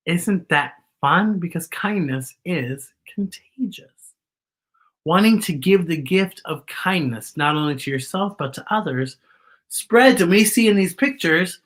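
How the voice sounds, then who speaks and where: Expressive woman reading aloud to children. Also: on the low side. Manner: expressive, explaining and questioning as if to young children. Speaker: children's storytime host. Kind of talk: picture book read-aloud with chatty asides.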